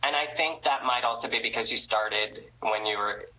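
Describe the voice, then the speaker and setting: Supportive woman interviewer with a bright voice. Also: extremely low pitch, moderate pace, a little hiss or hum, poor audio. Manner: supportive, teasing, restarts questions. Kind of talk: casual interview.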